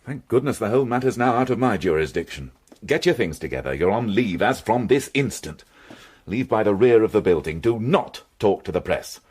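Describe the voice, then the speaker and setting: Husky, sardonic police inspector. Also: male voice, on the low side, expressive, brisk, a little mellow. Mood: scornful, angry. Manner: dry, biting sarcasm. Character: sardonic, world-weary middle-aged male police inspector. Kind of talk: British radio crime drama acting.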